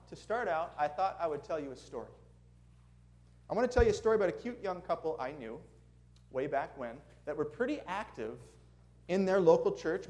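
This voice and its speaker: A man's voice: high-pitched, a little mellow. A man from Minnesota with a Minnesotan accent, preaching a sermon to a congregation in a church, earnest and friendly with chuckling asides. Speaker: guest preacher. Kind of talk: sermon with prayer and storytelling. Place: church sanctuary before a congregation.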